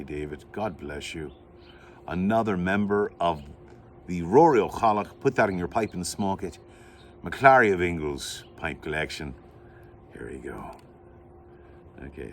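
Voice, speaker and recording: Low-pitched man, lively swooping delivery, hammy showman hosting an unboxing. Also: slight background noise. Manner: theatrical, playful showman with mock announcements. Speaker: host, pipe unboxing. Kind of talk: playful unboxing vlog.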